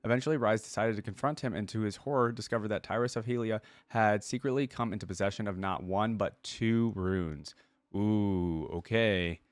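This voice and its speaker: A man's voice: lowish voice, normal speed, no echo. A man reading stories aloud and giving casual, chatty commentary as a reaction host. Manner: informal, chatty.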